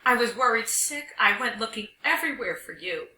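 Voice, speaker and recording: A woman voicing a loving, fussing mother hyena in a storybook, brisk and motherly. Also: on the high side, animated, pushed and bright, roomy sound, far from the mic. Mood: fussing, fond.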